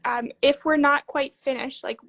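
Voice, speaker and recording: A woman with a high voice hosts a live video chat in an easygoing way. She answers with short agreeing replies and steers the talk along. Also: steady tone, normal speed, a bit bright, a little hiss or hum. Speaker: live-stream host. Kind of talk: casual live-stream chat.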